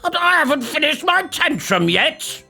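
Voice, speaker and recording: A man voicing a scatty, childish, excitable male storybook eccentric who stammers and repeats words frantically. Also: very high-pitched, moderate pace, vocal fry, faint music behind, pitched above the performer's own voice, heavily acted. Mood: petulant.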